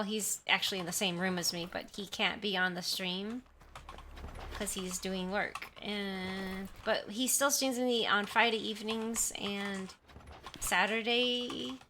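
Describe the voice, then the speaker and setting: A woman's voice: medium pitch, sharp tone, a little hiss or hum. A woman livestreamer chatting casually with her viewers, rambling and often apologizing, on a sensitive desktop mic in a room at home. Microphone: desktop condenser mic at high sensitivity.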